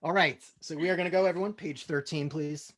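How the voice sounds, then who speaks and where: Male comedy host, high voice, casual delivery, deadpan script reading. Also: quick pace.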